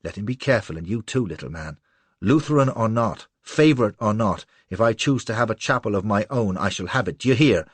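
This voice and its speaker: A man voicing a haughty royal favourite woman with a rich, husky voice who speaks in a commanding way. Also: sits low, lively intonation, moderate pace, no room sound. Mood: wrathful. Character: imperious, beautiful royal favourite woman, contemptuous.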